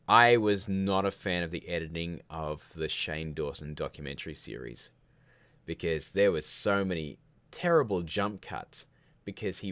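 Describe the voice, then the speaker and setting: A man with a deep voice hosts a casual live Q&A. He rambles from one answer to the next, candid and opinionated, slipping in jokey asides. The sound is dry, with very little room around the voice. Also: fairly bright tone, no room sound. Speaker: livestream host of a filmmaking show.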